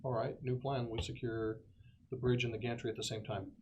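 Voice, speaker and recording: A man's voice: medium pitch, little pitch movement, brisk, a little hiss or hum. A man playing a tabletop game, speaking casually in terse, decisive phrases.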